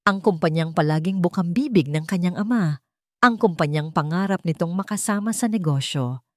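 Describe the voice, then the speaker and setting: A woman's voice: lowish voice, normal speed, no echo, clean studio sound. A woman with a Filipino accent reading a scripted story as a dramatic narrator.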